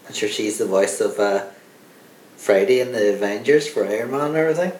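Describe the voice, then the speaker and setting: A man's voice: lowish voice, expressive, fairly quick, darkish tone, slight background noise. A young Irish man chatting casually about films on a podcast, rambling with lots of fillers and restarts. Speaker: podcast co-host. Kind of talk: casual film chat. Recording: echoey room.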